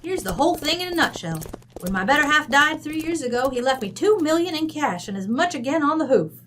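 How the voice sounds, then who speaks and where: A woman's voice: sits high, very animated, fairly quick, fairly bright tone, slight background noise. A woman playing a brash, folksy, middle-aged rancher widow with an American West accent, blunt, bossy and slangy, in an old-time radio drama. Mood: matter-of-fact.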